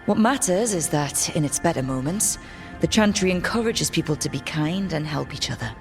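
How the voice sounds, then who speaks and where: Elven adventurer leader, deep female voice, sincere, measured, soft music behind. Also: expressive. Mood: reassuring. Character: thoughtful elven heroine, level-headed, reassuring. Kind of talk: video game voice acting.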